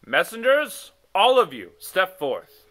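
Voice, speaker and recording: A man voicing a commanding, wise king from a children's story, speaking in a regal, projected and deliberate way. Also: high-pitched, huge pitch swings, a bit bright, pitched above the performer's own voice, heavily acted. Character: commanding, wise king addressing his messengers.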